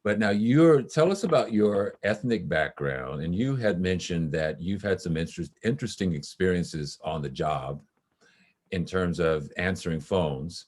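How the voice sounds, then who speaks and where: A man's voice: low-pitched, lively intonation, a little slow, a little mellow. A man hosting an interview, affirming his guest and steering the questions. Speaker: host. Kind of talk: casual interview.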